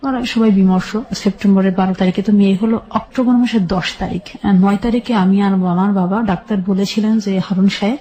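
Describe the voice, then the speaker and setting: A Bangladeshi woman telling her life story as an interview guest, recounting it in halting detail and repeating phrases as she goes. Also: medium pitch, steady tone, dark tone, poor audio.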